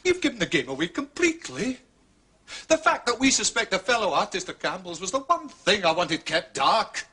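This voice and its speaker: Sharp, high-voiced Scottish police inspector. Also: male voice, big pitch swings, normal speed, husky, heavily acted. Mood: stern. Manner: sharp, scolding, authoritative. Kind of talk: radio drama acting.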